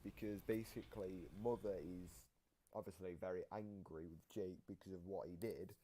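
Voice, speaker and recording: A man's voice: low-pitched, steady tone, moderate pace, dark tone, slight background noise, poor audio. A man giving casual explanatory commentary, piecing his thoughts together as he explains. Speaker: co-host explaining game lore.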